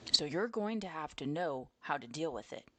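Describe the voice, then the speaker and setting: A woman's voice: low-pitched, animated, normal speed, pushed and bright, no echo, heavily acted. A woman voicing a stern, protective father bear in a children's storybook, speaking in a firm, instructive way. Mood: serious advice.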